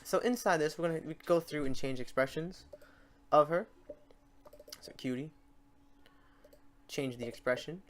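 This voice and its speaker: A man hosting a software tutorial in a casual, unscripted way, talking to himself as he works through the steps. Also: on the high side, normal speed, long pauses. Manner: informal, talks to himself while clicking through steps. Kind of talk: casual unscripted screen-recorded tutorial narration.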